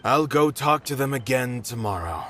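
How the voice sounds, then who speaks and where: A man voicing a polite, conscientious theater manager in an anime game, expressive and careful with his words. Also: mid-range voice, moderate pace, a little hiss or hum, lightly acted. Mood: resigned.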